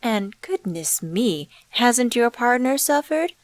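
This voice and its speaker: A woman playing an enigmatic, suave stranger in a scripted ASMR roleplay, speaking to the listener in a measured, teasing, condescending and knowing way. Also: a little high, sing-song, no echo. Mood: smug, taunting. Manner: measured, teasing, rhetorical questions, condescending endearments.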